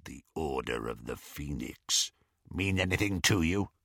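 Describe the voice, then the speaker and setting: A man voicing a savage, gloating male werewolf with a rasping growl and a menacing, relishing manner in an audiobook story. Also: a bass voice, animated, unhurried, a bit bright, dry recording, studio quality, pitched below the performer's own voice, heavily acted. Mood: sinister relish.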